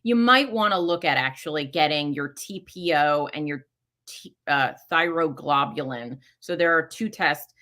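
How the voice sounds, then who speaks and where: A woman's voice: sits low, normal speed, bright, forceful tone. A middle-aged woman hosting a live question-and-answer session, talking casually with many fillers and self-corrections. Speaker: host answering listener questions.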